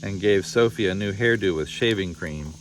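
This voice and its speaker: A man narrating a children's picture book in an expressive read-aloud style. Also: low-pitched, moderate pace, slight background noise, poor audio. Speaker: storybook reader.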